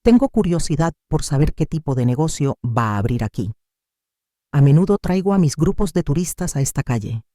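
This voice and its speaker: Gentle Portuguese widower, sixties, for a narrated story: polite, encouraging, very soft dark tone one narrator acts him. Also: mid-range voice, sing-song, a little fast, dry recording, studio quality, lightly acted. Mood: polite curiosity.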